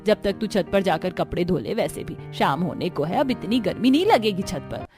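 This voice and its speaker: A woman's voice: mid-range voice, sing-song, moderate pace, over music, natural voice. A woman voicing a stingy, chiding middle-aged mother-in-law in a cartoon story. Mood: dismissive. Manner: dismissive, chiding.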